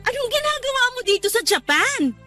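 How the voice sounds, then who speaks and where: A sharp-voiced young woman in a radio drama. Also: extremely high pitch, sing-song, brisk, soft background music, full character voice. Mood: surprised. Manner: teasing, then scolding. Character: young woman working abroad, practical, a little irritated. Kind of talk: Tagalog radio drama acting.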